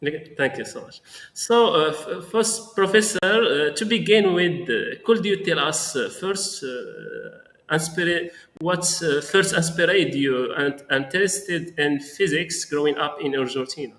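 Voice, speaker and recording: A man working as an interviewer, putting prepared questions in a halting, hesitant way. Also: fairly high pitch, very animated, a bit bright. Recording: echoey, reverberant room sound.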